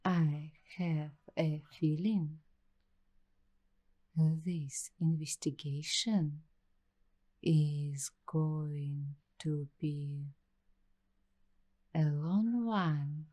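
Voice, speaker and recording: A woman speaks very slowly in a soft, mellow, hushed voice, slipping into whispers. She plays a paranoid, secretive waitress in an ASMR mystery roleplay, weighing each phrase with care. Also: low voice, fairly even intonation. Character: paranoid young waitress investigating a creepy attic mystery. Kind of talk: ASMR roleplay, slow scripted monologue.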